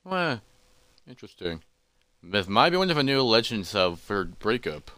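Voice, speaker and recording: A man's voice: on the low side, sing-song, normal speed, sharp tone, no echo. Guy doing a careful bookish adventurer in a fantasy tale, mumbling to himself, unsure, voice jumps around, clean dry sound. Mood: intrigued, musing. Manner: hesitant, muttering to himself. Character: cautious, scholarly male adventurer musing to himself.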